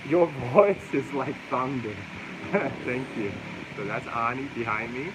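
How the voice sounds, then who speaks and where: A man with a loud voice hosting a casual outdoor livestream from a roadside cafe, holding the phone camera himself. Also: mid-range voice, measured pace, background noise. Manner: loud voice, chatty and easygoing. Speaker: livestream host. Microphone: handheld phone camera.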